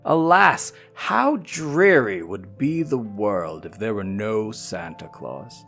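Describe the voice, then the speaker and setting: A man giving a theatrical, emphatic dramatic reading of a classic letter, with drawn-out pauses. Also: fairly low pitch, lively intonation, gravelly, a bit bright, soft background music. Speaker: reader in a theatre company's audio series.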